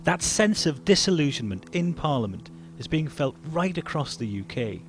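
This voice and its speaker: A male reporter narrating a news documentary in a measured, grave way. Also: fairly high pitch, sing-song, over music.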